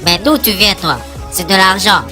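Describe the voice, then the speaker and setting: A woman's voice: medium pitch, moderate pace, sharp tone, lightly acted. A woman voicing a cocky, mocking child inventor in a cartoon. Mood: mocking, know-it-all. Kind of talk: French-language cartoon dialogue. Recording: music behind the voice. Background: music.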